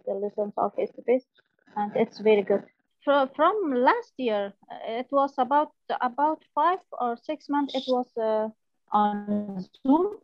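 A woman from Afghanistan, an ESOL student, answering hesitantly with many pauses and fillers. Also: a little high, dry recording, dull audio. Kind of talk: podcast interview answers.

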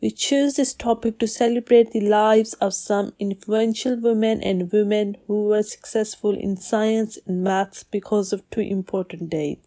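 A woman's voice: medium pitch, steady tone, a little slow, few pauses, dark tone. A woman from Pakistan, an adult language student, hosting a podcast and reading a prepared talk with careful pacing and some hesitations. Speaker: ESOL student and podcast host.

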